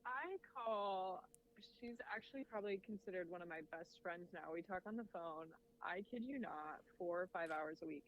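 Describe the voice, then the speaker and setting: Reflective woman coach on dull audio. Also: fairly low pitch. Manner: reflective and measured, with easy laughs. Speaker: head volleyball coach, podcast guest. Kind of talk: casual interview conversation. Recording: dull lo-fi audio with faint noise.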